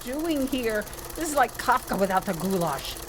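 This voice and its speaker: A woman's voice: a little high, sing-song, moderate pace. Sardonic New York woman over clattering machines. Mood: indignant. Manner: wry, wisecracking, exclaiming. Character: sardonic, fed-up New York woman, novice sweatshop seamstress. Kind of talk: comedic radio drama acting. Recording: noisy rattling sewing-machine workshop ambience.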